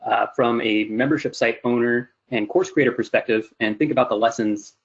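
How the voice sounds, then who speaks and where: A man's voice: mid-range voice, a little fast, husky. A man in his thirties giving an explanatory webinar presentation in an informal way. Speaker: presenter, company CEO. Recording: dull lo-fi webinar audio.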